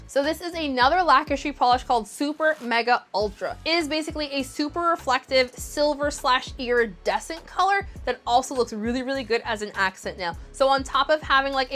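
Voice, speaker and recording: A woman's voice: high voice, fairly bright tone. A young woman, chatting enthusiastically through a casual nail polish review with lots of "like". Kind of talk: casual product review vlog.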